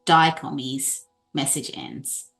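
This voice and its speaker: A woman's voice: extremely low pitch, big pitch swings, takes it slowly, gravelly, fairly bright tone, soft background music. A woman chatting casually as a player in a tabletop roleplaying game, saying what her character does.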